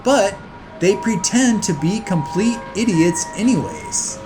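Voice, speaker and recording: A man's voice: high-pitched, expressive, slow, over music. A man delivering scripted opinion narration in a blunt, emphatic, scornful manner. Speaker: video narrator.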